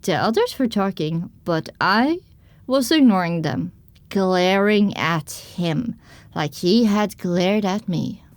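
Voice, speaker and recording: A woman reading scripted first-person story narration for an audio drama, with expressive storytelling delivery. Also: mid-range voice, takes it slowly, dry recording.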